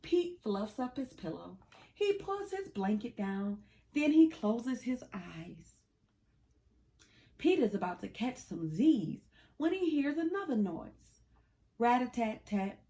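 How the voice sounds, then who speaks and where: Woman reading a kids story out loud, nice and slow, really up and down voice. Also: fairly high pitch. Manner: animated, deliberate storytelling for young children. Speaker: children's storyteller. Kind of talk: expressive scripted read-aloud of a picture book.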